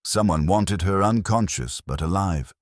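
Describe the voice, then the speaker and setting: A man's voice: low voice, expressive, a little slow, no echo, clean studio sound, pitched above the performer's own voice. A man voicing a grave middle-aged country doctor who speaks in a grim, clinical way.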